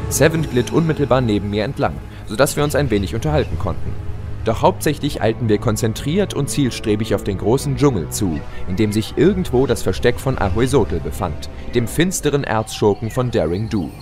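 A man's voice: medium pitch, animated, brisk, over music. A man narrating a scripted adventure audio drama and voicing its confident hero. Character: confident young male adventurer pegasus narrating his tale. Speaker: narrator and lead voice.

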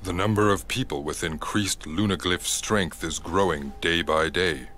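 A man's voice: deep voice, unhurried, fairly bright tone, soft background music. A man voicing a grave, middle-aged fatherly king in a fantasy game, formal and regal. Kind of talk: video game voice acting.

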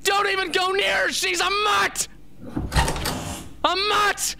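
An actor playing a traumatized, paranoid young man in a radio drama, whispering and shouting his hateful accusations. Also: extremely high pitch, level tone, slow, vocal fry, background noise, exaggerated voice. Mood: frantic, accusing. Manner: whispering, building to frantic shouting.

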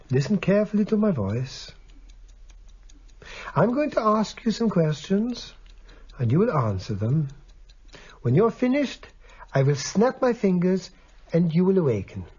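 An actor playing a calm, probing doctor and hypnotist in a radio drama, with a measured, courteous, formal manner. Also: male voice, on the high side, slightly rough, lo-fi sound, exaggerated voice.

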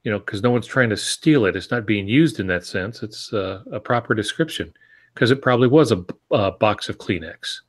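American man in his sixties, slightly low pitch, casual podcast discussion, co-host, video call from a home office. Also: animated, brisk. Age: 60s. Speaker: podcast co-host, fiction writer.

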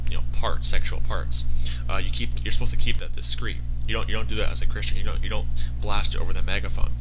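Casting: man, low flat voice, quick rambling commentary with music underneath. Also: rough recording. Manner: rambling, repeats himself, many restarts. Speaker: commentary video host. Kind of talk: casual commentary over clips.

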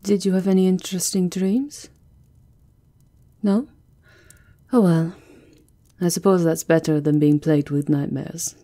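A woman's voice: fairly low pitch, fairly even intonation, unhurried, pauses often, mellow, natural voice. A woman performing an elegant, seductive vampire countess in an ASMR roleplay, speaking in an intimate, languorous and teasing manner. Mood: soft, affectionate.

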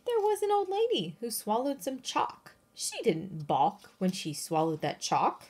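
A woman reading a children's picture book aloud in an expressive, sing-song, emphatic way, with chatty asides to young listeners. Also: fairly high pitch, unhurried, slight background noise. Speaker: read-aloud storyteller.

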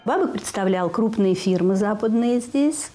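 An older woman giving an interview indoors, telling anecdotes in a lively way that speeds up as she goes. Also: mid-range voice, normal speed, music in the background. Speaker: interviewee, former actress. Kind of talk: interview recollection. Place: indoor room with lamp.

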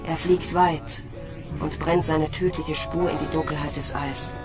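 An actress playing a resigned young woman space soldier who narrates her fate in measured, literary monologue in a radio drama. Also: low-pitched, fairly even intonation. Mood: resigned, fatalistic. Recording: loud music under the voice.

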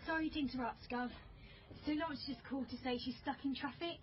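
A woman's voice: high-pitched, fairly even intonation, quick pace, close to an everyday voice. A woman playing an efficient junior British police officer in a naturalistic radio drama. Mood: brisk, polite. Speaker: actor in radio crime drama. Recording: muffled broadcast with clear background noise.

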